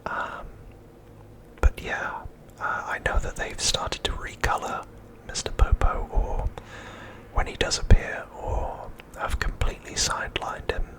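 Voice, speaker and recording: An ASMR host giving an unscripted show-and-tell commentary in a breathy, unvoiced whisper, hushed and unhurried. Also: poor audio.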